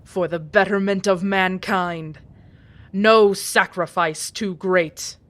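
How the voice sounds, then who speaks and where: Slow, menacing cyborg woman, acted out by a woman. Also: medium pitch, fairly even intonation, fairly bright tone. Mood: cold, commanding. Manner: formal, clipped, threatening. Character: cold, menacing, imperious female cyborg villain. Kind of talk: audio drama villain acting.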